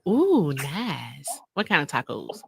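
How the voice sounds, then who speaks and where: A woman's voice: deep voice, wildly swooping pitch, slow, vocal fry, husky. A woman in her late thirties hosting a casual livestream, chatty and laughing often, calling her viewers y'all. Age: 30s. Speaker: livestream host.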